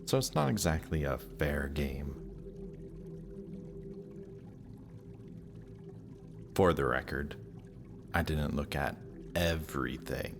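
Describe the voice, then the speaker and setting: A man's voice: extremely low pitch, lively intonation, a little slow, creaky, noisy background, exaggerated voice. A man playing a death god's smug, lovestruck young son in a romantic audio roleplay, talking casually and teasingly to the listener. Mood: quietly fond.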